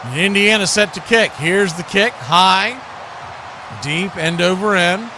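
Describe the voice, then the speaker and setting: An American man giving live football play-by-play in short clipped phrases that build with excitement. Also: high-pitched, unhurried, background noise. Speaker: play-by-play announcer.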